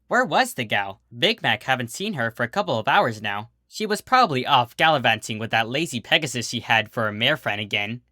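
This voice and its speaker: A storyteller reading scripted fiction aloud in an expressive narrating style. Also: mid-range voice, no echo, clean studio sound. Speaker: storyteller, fan fiction reader.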